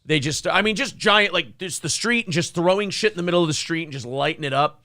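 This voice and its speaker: A man's voice: on the high side, expressive, quick pace, pushed and bright, no room sound, studio quality. A man co-hosting a morning show with casual, profane comedic banter about the news. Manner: profane, joking.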